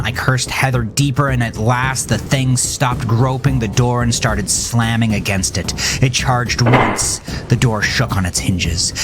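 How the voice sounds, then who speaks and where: Male actor, low register, as a haunted, regretful horror narrator; intense, deliberate, with clear music bed. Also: lightly acted. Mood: tense, fierce. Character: haunted, regretful man recounting his fight with a monster. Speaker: narrator and host of a horror audio drama. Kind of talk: dramatic first-person horror narration.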